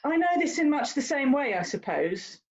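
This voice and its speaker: Woman playing a haughty, animated young lady. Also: high-pitched, normal speed, muffled recording. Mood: exasperated. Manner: emphatic, condescending, theatrical. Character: haughty, worldly young English lady, teasing older sister. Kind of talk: stage-style period drama dialogue.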